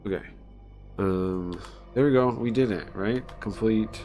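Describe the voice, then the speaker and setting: Guy with a lowish voice chatting on stream, thinking out loud, repeats himself, music going behind him. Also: measured pace. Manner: muttering to himself, repeating phrases, checking with "right?". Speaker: livestreamer. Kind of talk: casual stream chat, thinking aloud.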